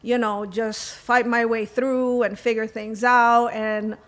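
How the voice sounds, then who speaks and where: A middle-aged woman from Venezuela, telling her story in a casual, animated and emphatic way as a podcast guest. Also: a little high, takes it slowly. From Venezuela, moved to the US at age eight. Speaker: podcast guest, lash artist and educator. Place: guest in the host's home.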